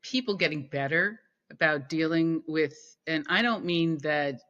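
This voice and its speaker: A woman being interviewed, speaking in a bright, forceful voice. She talks thoughtfully, pausing and restarting often. The audio is muffled and lo-fi. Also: sits low. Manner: thoughtful, halting with fillers and restarts. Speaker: podcast guest. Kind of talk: conversational interview.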